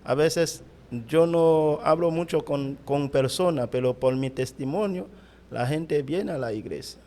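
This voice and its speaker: A middle-aged Haitian man, a pastor whose first language is Haitian Creole, speaking Spanish haltingly with a Haitian accent in an interview. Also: fairly high pitch, a little mellow. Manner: halting, searching for words in Spanish. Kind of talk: interview answers.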